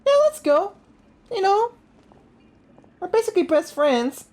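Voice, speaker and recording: A man's voice: very high-pitched, animated, a little slow, a little mellow. A young man giving casual let's play commentary as a gaming host, slangy and often saying bro. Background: game music.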